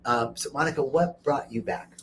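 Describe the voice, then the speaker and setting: A man hosts a relaxed interview, upbeat and playful, and laughs easily. His pitch sits in an ordinary middle range. A faint background hum runs under his voice. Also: moderate pace. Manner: upbeat and joking, laughs often. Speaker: host. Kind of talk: casual interview. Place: retreat center in Costa Rica.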